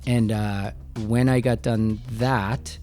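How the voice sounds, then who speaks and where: A man talking casually as a podcast guest, informal and halting as he works through his thoughts. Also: on the low side, fairly even intonation, dark tone, faint music behind. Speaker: podcast guest, business owner. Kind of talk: casual conversational interview.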